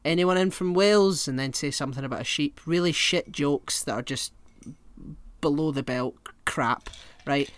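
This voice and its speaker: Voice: medium pitch, normal speed, smooth, no echo. A podcast co-host chatting casually and swearing freely while telling rambling comic stories. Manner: rambling, animated storyteller.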